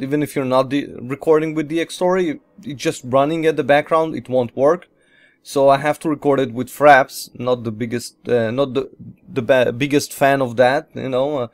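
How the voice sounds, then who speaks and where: A man with an average-pitched voice hosts a casual video game playthrough. He talks in a loose, rambling way, trailing through lists and padding them with repeated filler phrases. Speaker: host of a gaming Let's Play series.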